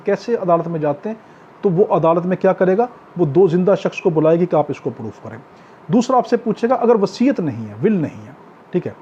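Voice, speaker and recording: A high-pitched man with a Pakistani accent delivers an exam-prep lecture. His voice is mellow, and he teaches in a repetitive question-and-answer manner. The recording is dull and lo-fi. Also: slightly rough. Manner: didactic, poses questions and answers them, repeats points. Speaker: law tutor. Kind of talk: exam-prep lecture, reading articles in English and explaining them. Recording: muffled lo-fi audio with faint background noise.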